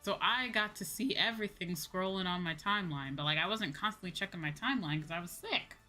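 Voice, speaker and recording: A woman's voice: on the low side, fairly quick, pushed and bright, soft background music. A woman livestreamer chatting casually, in an informal, slangy, ranting way that acts out her own reactions. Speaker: VTuber livestream host.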